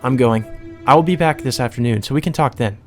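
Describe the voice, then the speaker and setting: A man voicing a composed, aloof young heiress in a measured, formal, reserved way. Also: mid-range voice, faint music behind, close to an everyday voice. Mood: cool, reserved.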